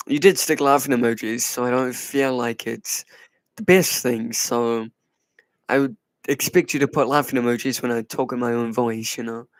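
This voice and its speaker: A man hosting a casual livestream, playfully teasing and answering his chat in a soft, put-on voice. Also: mid-range voice, dry recording. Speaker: livestream host. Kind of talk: casual live chat with viewers.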